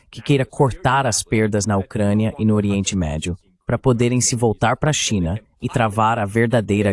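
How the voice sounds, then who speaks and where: A man's voice: on the low side, darkish tone. A man giving translated voice-over political commentary, opinionated and fond of rhetorical questions.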